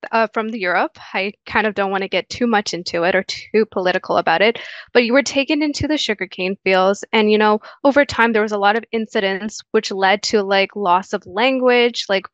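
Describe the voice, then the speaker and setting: A young woman talks earnestly through a headset on a video call, stumbling into restarts and fillers as she goes. Her voice sits mid-pitch and sounds dry and a bit dull, with the lo-fi quality of call audio. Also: a bit bright, no echo. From Guyana. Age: young adult. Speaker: interview guest. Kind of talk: casual interview answers. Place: small room indoors.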